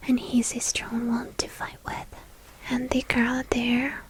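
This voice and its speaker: A woman playing a shy, apologetic but hungry young vampire girl in an ASMR roleplay, speaking in a hesitant, intimate, breathy whisper. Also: high voice, poor audio. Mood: hushed, secretive.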